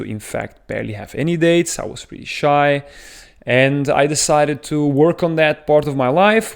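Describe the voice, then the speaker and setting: A man from Belgium with a thick Belgian accent, talking earnestly to camera as a dating coach, explaining at length with frequent filler sounds. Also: medium pitch. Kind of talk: talking-head advice video.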